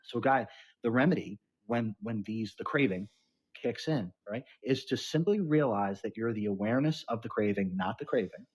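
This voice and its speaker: A man's voice: lowish voice, animated, normal speed, darkish tone, slightly rough, rough recording. A man hosting a podcast, giving an earnest and emphatic spiritual teaching talk. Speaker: podcast host.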